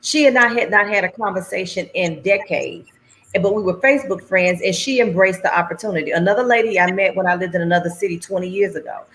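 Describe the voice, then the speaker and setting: A middle-aged woman chatting on a livestream, telling stories with animated, folksy phrasing. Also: mid-range voice, quick pace, slight background noise. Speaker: anthology organizer and guest. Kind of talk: casual conversation on a livestream.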